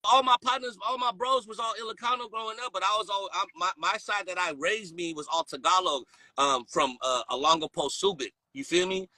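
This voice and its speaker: A man's voice: high voice, fairly even intonation, fairly quick, sharp tone, dry recording, low-quality recording. A man hosting a casual podcast chat, talking in loose slang, rambling and laughing often. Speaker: podcast host.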